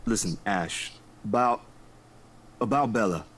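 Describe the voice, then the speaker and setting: Groggy young man, played by a male reader, low voice, grumpy yet caring, visual novel dialogue, faint background noise. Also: animated, a little slow, fairly bright tone. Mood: hesitant, worried.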